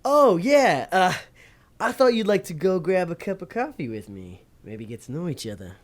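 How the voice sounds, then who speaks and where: An actor as a dim, eager demon sidekick, swooping pitch. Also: male voice, big pitch swings, normal speed. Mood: flustered flirting. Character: dim but eager male demon sidekick, would-be charmer. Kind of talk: audio drama acting.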